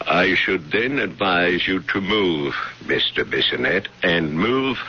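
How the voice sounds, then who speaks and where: A cautious, learned professor in a radio mystery. His voice is very deep and animated, his phrasing formal and dramatic. The old broadcast is dulled, with faint noise. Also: slow, muffled recording. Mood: grave, suspicious. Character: learned male professor, witchcraft authority, cautious and grave.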